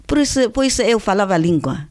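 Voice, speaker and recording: Older Chinese woman with halting speech. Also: mid-range voice, animated, a little mellow. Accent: Chinese, with Brazilian Portuguese traces. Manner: halting, with frequent restarts, "né?" tags and laughter. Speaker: interviewee, Portuguese teacher. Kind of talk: conversational interview.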